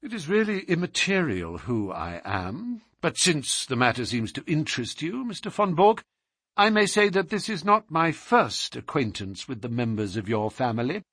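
A man voicing an urbane, wry English gentleman detective in an audiobook, courteous, precise and self-assured. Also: fairly high pitch, big pitch swings, normal speed, breathy edge, dry recording, clean studio sound, pitched above the performer's own voice, exaggerated voice. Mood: suave. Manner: courteous, ironic, precise, unruffled.

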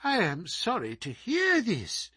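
A man voicing a small, frail, sinister older man with a high, petulant, penetrating voice that turns shrill and screaming in anger. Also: wildly swooping pitch, slow, bright, forceful tone, slightly rough, no echo, studio quality, exaggerated voice. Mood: feigned concern. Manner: shrill, querulous, screaming when angry.

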